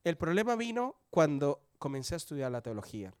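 A man's voice: a little high, big pitch swings, brisk, clean tone, no room sound, studio quality. Colombian man in his forties giving testimony. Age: 40s. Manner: conversational, asks the audience questions. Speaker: church member giving testimony. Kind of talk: testimony in a church service.

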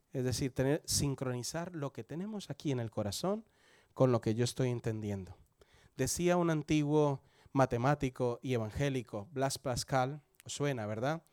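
A Colombian man in his forties shares his testimony at church in a chatty, conversational way. He often turns to his listeners with questions and swings his loudness for emphasis. His voice sits at an ordinary male pitch, recorded dry with no room around it. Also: no echo. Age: 40s. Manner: conversational, asks the audience questions. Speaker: church member giving testimony.

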